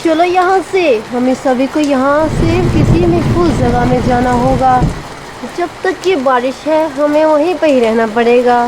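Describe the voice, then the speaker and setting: A woman's voice: high-pitched, measured pace, heavy background noise. A woman voicing an urgent, insistent female pigeon in a children's cartoon. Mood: urgent warning. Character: urgent, sensible female pigeon warning her friend. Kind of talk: children's cartoon story acting.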